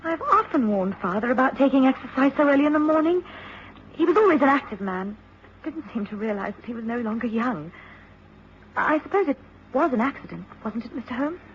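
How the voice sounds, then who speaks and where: Posh young english woman, bereaved but composed, highish lively voice, muffled old radio play. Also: brisk. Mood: grieving, restrained. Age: young adult. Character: well-spoken, composed, bereaved young daughter. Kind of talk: radio drama acting. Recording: dull, faintly noisy old radio recording.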